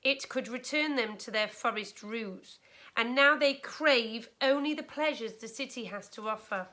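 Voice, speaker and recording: A woman teacher reading a storybook aloud to a class of children, expressively and with deliberate, explanatory care. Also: fairly high pitch, pushed and bright. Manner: clear, deliberate explaining to young listeners.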